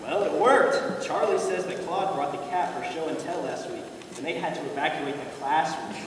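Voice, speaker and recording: A man's voice: a little high, moderate pace, close to an everyday voice. A man playing a dry, teasing family man in a readers theater skit. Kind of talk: readers theater stage acting. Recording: noisy echoey hall, far from mic.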